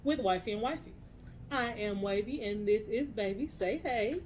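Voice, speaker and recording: Young woman podcast cohost, playful, slangy, laughs a lot, mid pitch, faint room hum. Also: normal speed, darkish tone. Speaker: podcast co-host. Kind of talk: casual chat.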